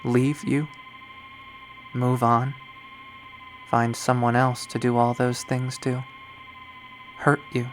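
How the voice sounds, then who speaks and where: A lovesick, obsessive young woman who has turned to killing speaks in a hushed, brooding monologue, as a man reads her lines aloud. Her pitch sits in a mid range, and she takes her time, letting each line hang slowly. This is a dramatic first-person visual novel readthrough. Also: flat delivery, slight background noise, natural voice, low-quality recording.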